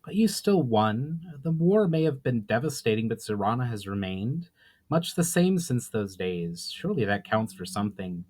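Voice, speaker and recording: A man's voice: medium pitch, very animated, normal speed, darkish tone, husky. Man playing an earnest, urgently questioning male adventurer. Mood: insistent, puzzled. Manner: urgent, inquisitive, formal speech.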